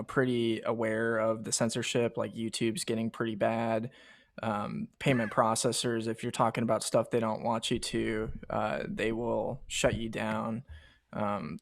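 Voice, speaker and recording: A man hosts a podcast, his voice gravelly with fry. The recording is dry and close. He talks loosely and fills pauses with "you know" and "um". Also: mid-range voice, no room sound. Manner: casual, with many fillers like "you know" and "um". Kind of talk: casual podcast discussion.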